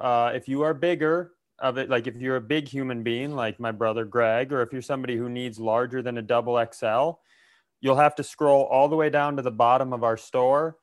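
Smooth-voiced American man, joking and rambling. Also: medium pitch. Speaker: podcast co-host. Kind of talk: casual comedic podcast chat.

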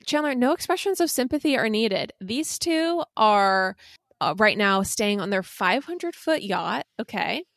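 A woman's voice: medium pitch, expressive, measured pace, fairly bright tone, no echo. A woman with a mid-range voice chats casually as a podcast co-host. She leans on sarcasm and playful exaggeration. The sound is dry, with very little room in it. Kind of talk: casual comedic gossip chat.

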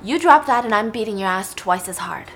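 A man's voice: sits high, lively intonation, fairly quick, gravelly, fairly bright tone, slight background noise, exaggerated voice. A woman voicing a violent drunken father, demanding and menacing as she tells a story. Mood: furious. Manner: demanding, menacing, repeats himself.

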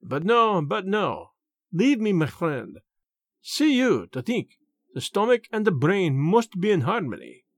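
A man voicing a fastidious, self-assured little foreign detective in an audiobook, with a French accent and deliberate, theatrical phrasing. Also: sits high, very animated, no echo, studio quality, heavily acted. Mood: queasy, distressed.

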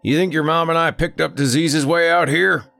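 A man's voice: on the high side, fairly even intonation, normal speed, gravelly, soft background music, voice pushed up. A man doing a blustering country dad. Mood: teasing, grumbling. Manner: exasperated, loud and grumbling. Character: blustering middle-aged country dad, stubborn and loud.

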